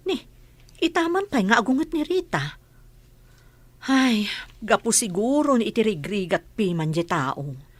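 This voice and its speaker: A woman's voice: medium pitch, sing-song, measured pace, long pauses. A woman playing a concerned, persuasive village auntie in a radio drama, earnest and urging.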